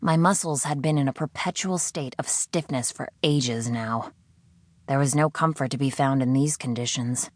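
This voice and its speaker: A woman reading first-person fiction as an audiobook narrator, in a measured, expressive way. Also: a bass voice, dry recording.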